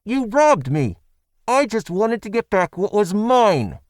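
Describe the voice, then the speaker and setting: Guy putting on a shrill outraged lady mage, piercing metallic teacher yell, way up high, dry close sound. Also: sing-song, slow, no room sound, exaggerated voice. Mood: indignant. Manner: outraged shrieking. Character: shrill indignant woman mage, weak and fragile, teacherly.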